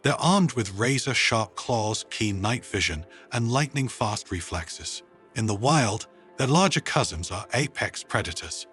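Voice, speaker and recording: A man's voice: fairly low pitch, lively intonation, measured pace, fairly bright tone, faint music behind. A man voicing a theatrical, wry male presenter with mock-grand flourish in a narrated story. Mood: wry, playful.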